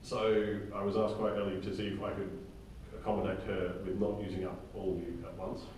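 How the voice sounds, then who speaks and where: A man with a fairly low voice leads an informal briefing for a group of actors. He speaks haltingly and checks in often. He is picked up from far off in a very reverberant room with faint noise behind him. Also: flat delivery, darkish tone. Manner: halting, often tags sentences with "okay?". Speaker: director and writer leading a drama table read. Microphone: distant room microphone. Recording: very echoey room, distant mic, faint noise.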